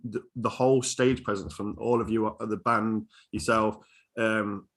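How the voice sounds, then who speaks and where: Male podcast host, bright voice, informal and enthusiastic, with a halting, searching delivery. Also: on the low side, fairly even intonation. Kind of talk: casual interview chat.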